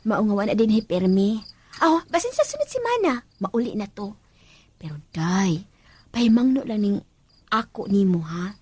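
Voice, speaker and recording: A woman's voice: mid-range voice, moderate pace, breathy edge. A woman with a Filipino Cebuano accent acting a bossy, gossipy senior housemaid in a radio drama. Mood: confiding, cautioning. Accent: Filipino, Cebuano-speaking. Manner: animated, chatty, admonishing.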